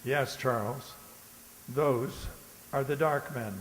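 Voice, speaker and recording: Man acting a sly genteel gentleman, echoey. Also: medium pitch, steady tone, close to an everyday voice. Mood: firm hushing. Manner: genteel, insinuating, measured. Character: smug, sly old-fashioned gentleman, treacherous false friend named Roscoe. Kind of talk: radio drama acting. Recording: echoey hall, faint noise behind.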